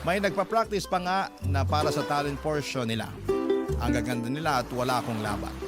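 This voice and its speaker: A man with a Filipino accent narrating a listener's story as a radio drama host, in steady scripted storytelling. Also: mid-range voice, a little fast. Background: guitar music.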